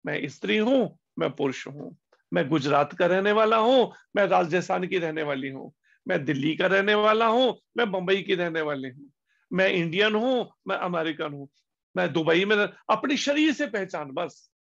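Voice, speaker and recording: A man giving a devotional discourse as a spiritual teacher, slowing down on key words and asking rhetorical questions. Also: sits high, animated, gravelly, a bit bright. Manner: slow, deliberate emphasis with pauses on key words. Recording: dull lo-fi recording.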